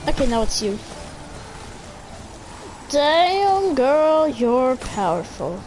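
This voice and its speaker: A woman's voice: sits high, big pitch swings, drawn-out words, darkish tone. A woman giving casual, playful gameplay commentary as a streamer, chatty and slangy. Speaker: video game streamer. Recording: game music behind the voice.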